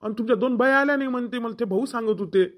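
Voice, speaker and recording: A harried village family man played by a male voice actor in a cartoon comedy. His voice sits high for a man, and he nags in a rustic Varhadi Marathi accent from Vidarbha, hurrying everyone along. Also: rapid-fire, a bit bright. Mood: complaining.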